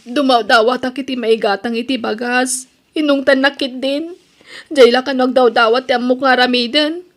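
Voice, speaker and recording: A woman performs an aggrieved young wife in an audio drama. She speaks in a high voice and pleads like someone wronged and in need. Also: steady tone, moderate pace, fairly bright tone. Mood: tearful pleading. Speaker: drama voice actress. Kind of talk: Ilocano audio drama acting.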